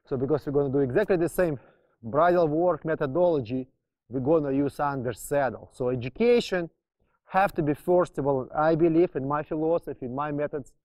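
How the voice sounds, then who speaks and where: Male riding instructor, non-native English speaker, dark mellow tone, explains methodically and drives key terms home by repetition. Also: a little high, a little fast. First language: not English. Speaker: horsemanship trainer and instructor.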